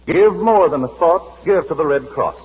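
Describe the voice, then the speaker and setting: A man announcing ads in a smooth, persuasive 1940s radio style. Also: a little high, expressive, normal speed, darkish tone. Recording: dull old radio broadcast.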